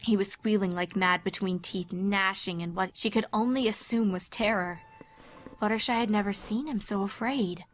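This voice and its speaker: A woman reading scripted dramatic story narration in a measured, expressive way. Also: mid-range voice, faint music behind.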